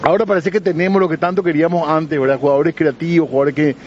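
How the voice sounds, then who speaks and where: A man's voice: a little high, fairly even intonation, fast talker. A man talking on a casual sports stream, upbeat and agreeable. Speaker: sports panelist. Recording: muffled stream audio, faint noise.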